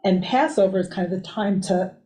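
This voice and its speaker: A woman with a warm, mellow voice adds her reflections to a group study. She talks in a relaxed, conversational way, with easy "like" fillers. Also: mid-range voice, fairly quick. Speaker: rabbi in the discussion. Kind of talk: conversational teaching.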